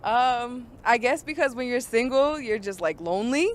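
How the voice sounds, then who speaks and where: A woman's voice: a little high, moderate pace, a little hiss or hum. A young woman answering street interview questions in a hesitant way, full of "um" and "like.". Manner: hesitant, filler-heavy, soft-spoken. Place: outdoors on a city street.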